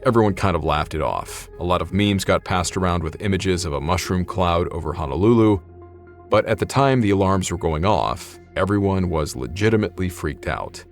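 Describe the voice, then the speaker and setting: An American man narrating a scripted documentary story as a host. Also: deep voice. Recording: loud music and truck cab noise behind. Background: music, vehicle engine noise.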